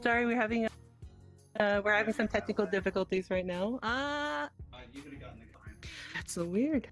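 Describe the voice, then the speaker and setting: A woman's voice: mid-range voice, moderate pace. A woman chatting casually on a live gaming stream, flustered, apologetic and hesitant. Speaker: host of a virtual-reality roleplay livestream. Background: music.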